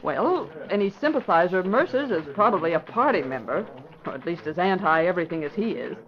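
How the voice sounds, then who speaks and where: A woman's voice: on the low side, sing-song, a little fast, crowd noise. An American woman playing a thoughtful, polite secretary in an old-time radio mystery, reasoning tentatively. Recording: dull vintage radio recording.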